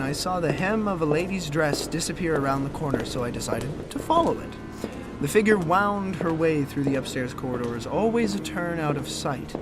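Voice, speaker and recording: Man playing a formal young gentleman narrator over music. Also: a little high, natural voice. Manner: formal, wordy storytelling. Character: impertinent, bumbling young gentleman recounting a ghostly mystery. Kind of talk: gothic parody audio drama narration.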